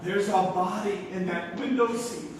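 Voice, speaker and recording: An actor playing a flustered, incredulous nephew in a live stage comedy, stammering and exasperated. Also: male voice, sits high, steady tone, unhurried. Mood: shocked, flustered. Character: flustered, incredulous young nephew, theatre-critic type. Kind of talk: stage comedy radio-theatre acting. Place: live theatre, distant microphone. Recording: roomy, noisy stage recording from far off.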